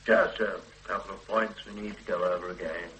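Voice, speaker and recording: A man's voice: low voice, steady tone, a bit bright, a little hiss or hum, poor audio. An actor playing a confident, matter-of-fact male police detective in a radio drama, brushing off his partner's doubts. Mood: dismissive. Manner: brisk, dismissive, matter-of-fact.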